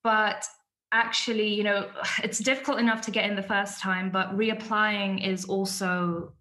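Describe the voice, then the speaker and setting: A woman's voice: mid-range voice, little pitch movement, unhurried, sharp tone. Young woman, podcast guest; candid, chatty storyteller with a mid-range voice. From born in Iraq, raised in London. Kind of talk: casual interview chat.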